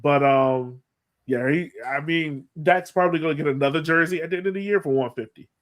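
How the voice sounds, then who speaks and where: A man hosting a sports podcast in casual chat, animated and often repeating phrases for emphasis. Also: fairly high pitch, a little fast. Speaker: podcast host.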